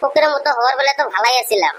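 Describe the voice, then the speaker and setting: A high-pitched, fast-talking cartoon villager voiced by a man, brazen and full of schemes. He wheedles and banters in a rural Bengali dialect. The voice is electronically raised, with music playing behind it. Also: lively intonation, a bit bright, a little hiss or hum. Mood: bantering. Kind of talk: comic cartoon dialogue.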